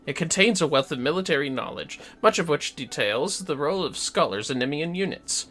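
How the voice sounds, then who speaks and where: A man's voice: fairly high pitch, lively intonation, a little fast, fairly bright tone, soft background music. A man voicing a formal, learned fantasy game scholar, reading dialogue theatrically in archaic wording. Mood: learned, pondering.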